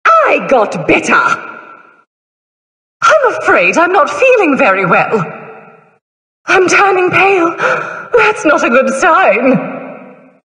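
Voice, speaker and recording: A gleefully morbid female plague doctor from a video game, speaking slowly in a high, bright voice. Her delivery is theatrical and mock-polite, drawing out her words, and it rings with echoey hall reverb. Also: sing-song, full character voice. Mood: mock concern. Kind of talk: video game character voice lines.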